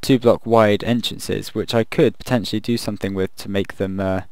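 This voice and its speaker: A man casually commenting while he builds in a video game, thinking aloud and rambling, his voice croaky from the late hour. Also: lowish voice, fairly even intonation, a little fast, darkish tone, no room sound, studio quality. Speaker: gaming video creator.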